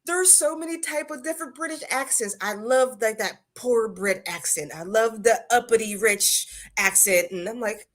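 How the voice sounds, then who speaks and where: A woman with a Southern American accent chatting casually on a live show, animated and quick to laugh. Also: on the high side.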